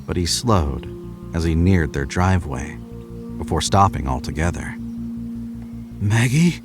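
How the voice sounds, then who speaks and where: A man's voice: extremely low pitch, moderate pace, over music. A man narrating scripted horror fiction in a dramatic storytelling style.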